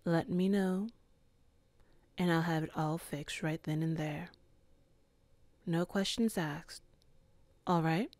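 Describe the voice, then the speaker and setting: Cool female boss, woman voicing her, lowish voice, calm and measured, dry close mic. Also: dry recording. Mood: teasing. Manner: calm, measured, softly flirtatious. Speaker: ASMR roleplay performer. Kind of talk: ASMR roleplay.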